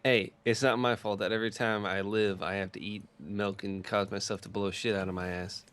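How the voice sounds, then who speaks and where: A man's voice: on the low side, level tone, fairly quick, fairly bright tone. A young man telling stories casually as a podcast co-host, rambling and swearing crudely for comedy. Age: young adult.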